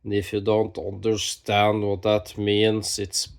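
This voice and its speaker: A man with a stuffy nose from a cold, talking slowly while demonstrating knife sharpening, with halting pauses and self-corrections. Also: fairly low pitch, monotone, gravelly, recorded from a distance. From Norway. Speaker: knife sharpening channel host. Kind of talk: casual hands-on demonstration.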